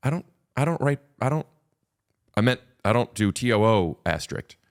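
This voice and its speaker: A man's voice: mid-range voice, animated, normal speed, creaky. A man in casual podcast conversation as a guest, rambling with restarts and repeated words.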